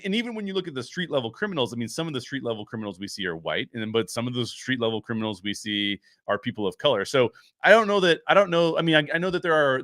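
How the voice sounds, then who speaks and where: A man's voice: mid-range voice, fairly bright tone, no echo. A man with a mid-range voice talks as the host of a commentary show. He reasons through his opinions conversationally, thinking aloud and qualifying points as he goes. The sound is dry, with very little room on it.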